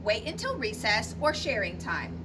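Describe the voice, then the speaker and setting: A woman voicing a kindly male schoolteacher mouse who speaks in a measured, gently authoritative way for a children's storybook. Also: on the high side, huge pitch swings. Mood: calm, firm. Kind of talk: children's storybook read-aloud. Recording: noisy recording, distant from the mic.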